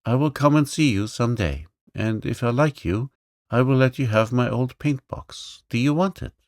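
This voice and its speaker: A man's voice: mid-range voice, moderate pace, a little mellow, no room sound, studio quality, pitched above the performer's own voice, close to an everyday voice. A man reading aloud, voicing an impetuous, bossy little girl who talks frankly and eagerly.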